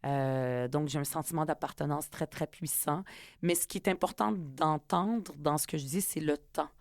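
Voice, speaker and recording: Low-voiced Quebec woman, reflective guest. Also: normal speed, a little mellow, dry recording, clean studio sound. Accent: Quebec French. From Middle East, raised in Quebec from age ten. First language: Arabic. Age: 40s. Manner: earnest and reflective, many hesitations. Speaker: politician and author guest. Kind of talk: conversational interview.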